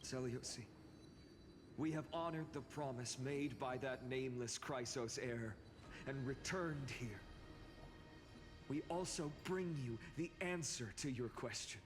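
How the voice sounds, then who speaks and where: A man voicing a dignified, solemn hero in a fantasy video game, grave and declamatory. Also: fairly high pitch. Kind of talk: scripted video game dialogue. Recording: long hall reverb, music behind.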